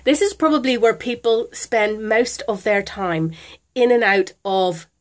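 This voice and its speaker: A woman's voice: a little high, a little slow. A middle-aged British woman with a chest infection, talking through a casual budgeting tutorial in a chatty, hesitant way, recorded on a camera phone at home. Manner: chatty, hesitant with many ums. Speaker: vlogger.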